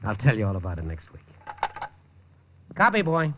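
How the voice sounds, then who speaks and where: An actor playing a world-weary newspaper reporter who narrates his own story in a hard-boiled, low-key and wry way, in 1950s radio drama style. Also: wildly swooping pitch, normal speed. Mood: reflective. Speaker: first-person narrator and lead. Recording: dull lo-fi 1950s radio recording.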